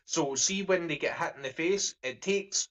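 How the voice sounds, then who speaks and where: A man's voice: a little high, normal speed, fairly bright tone. A man giving blunt opinions in a casual discussion, using slang. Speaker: discussion panelist, security industry worker.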